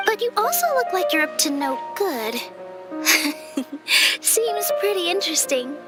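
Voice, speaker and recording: An actress playing a sweet, earnest young female dancer in a video game, girlish, gentle and a little hesitant. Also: extremely high pitch, sing-song, a little slow, exaggerated voice. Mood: friendly, curious. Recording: loud game music behind.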